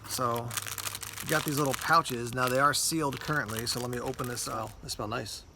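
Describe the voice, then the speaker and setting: A man talks through products like an unboxing host, informal and conversational. His mid-range voice has a noticeable gravelly creak. There is light noise behind him as he explains each item casually. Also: medium pitch, fairly even intonation, normal speed.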